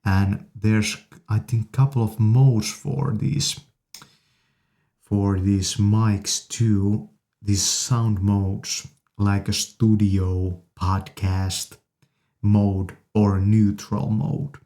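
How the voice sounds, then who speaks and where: A man gives an informal gear demonstration in a lowish voice. His delivery is slow and halting, arriving in short pieces as he presents each feature. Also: level tone, warm tone. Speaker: presenter reviewing an audio interface.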